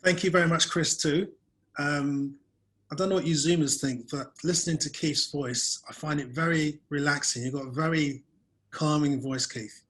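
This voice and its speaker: A man's voice: on the high side, steady tone, normal speed, fairly bright tone. A man hosting a video-call webinar, chatting in a friendly way and putting questions to his guest. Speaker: webinar host. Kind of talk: interviewing a guest.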